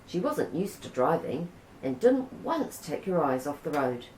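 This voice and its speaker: A woman with a New Zealand accent reading a children's picture book aloud in a gentle, unhurried way. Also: deep voice, big pitch swings, a little hiss or hum. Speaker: storybook reader. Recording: roomy echo.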